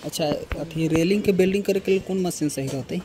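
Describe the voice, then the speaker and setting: Young man, high voice, casual vlog, phone mic, loud traffic noise around. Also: quick pace. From Bihar, India. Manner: chatty, mixes local dialect with Hindi. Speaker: vlogger. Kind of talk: casual vlog narration and shop chat. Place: outdoors on a road at night, then inside a small tool shop.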